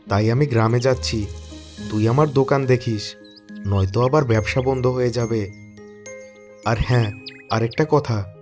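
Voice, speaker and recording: An actor voicing an honest elder brother who runs a shop in a cartoon, giving earnest advice. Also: male voice, mid-range voice, darkish tone, natural voice. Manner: earnest, advising.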